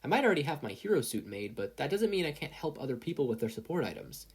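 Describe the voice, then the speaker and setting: A performer voicing an excitable, earnest teenage boy superhero student in an audiobook style, eager and fretful. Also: fast, breathy edge. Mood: eager, flustered. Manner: eager, fretful, high-strung. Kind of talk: audiobook-style character acting.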